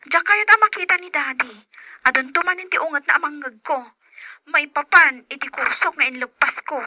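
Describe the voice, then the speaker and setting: A woman's voice: sits high, a little fast, background noise, close to an everyday voice. A young woman with a Filipino Ilocano accent playing a hurt but devoted grown daughter in a radio serial, plaintive and earnest. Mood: sad, tender. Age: young adult. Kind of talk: radio serial drama acting.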